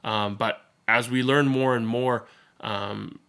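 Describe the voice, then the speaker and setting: A man's voice: medium pitch, fairly even intonation, slow pace, fairly bright tone, smooth. Man reading aloud. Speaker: storybook reader and channel host. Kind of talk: children's read-aloud narration with casual commentary.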